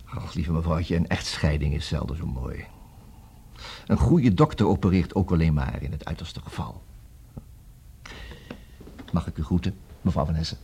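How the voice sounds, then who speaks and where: An actor with a Netherlands Dutch accent playing a busy, wry, smooth-talking divorce lawyer in a formal, fussy manner for a 1960s radio comedy. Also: male voice, a bass voice, lively intonation, normal speed, pauses often, warm tone, slight background noise, heavily acted. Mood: wry, courteous. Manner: formal, fussy, hesitant interjections and repeated words.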